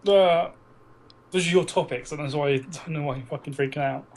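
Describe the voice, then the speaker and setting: A man's voice: fairly high pitch, brisk. A man co-hosting a podcast, chatting casually and bluntly about films, with frequent swearing. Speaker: podcast co-host. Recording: noisy amateur podcast recording.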